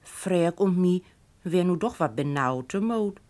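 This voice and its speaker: A woman with a northern German, Low German accent reading a humorous story aloud in an expressive, acted-out manner. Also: slow pace. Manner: animated, acts out characters' speech.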